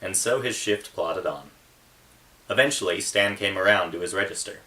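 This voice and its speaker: A man with a fairly low, bright and forceful voice speaks as an author presenting his own writing. The microphone sounds set well away from him. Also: moderate pace, far from the mic, slight background noise, rough recording. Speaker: author reading from own book. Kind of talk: casual book intro, then narrated reading.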